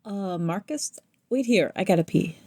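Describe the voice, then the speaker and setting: A woman's voice: mid-range voice, animated, moderate pace, darkish tone, no room sound. A woman playing an easygoing, lovestruck space crew member in an audio comedy, casual and agreeable. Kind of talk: audio comedy drama dialogue.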